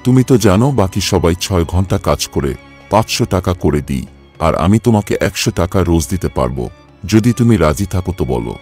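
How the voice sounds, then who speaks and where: Man acting a low-voiced, stingy village boss. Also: very animated, fairly quick, a little mellow, breathy edge, faint music behind, full character voice. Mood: condescending bargaining. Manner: condescending, bossy. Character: stingy, scornful male village contractor boss. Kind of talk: cartoon story voice acting.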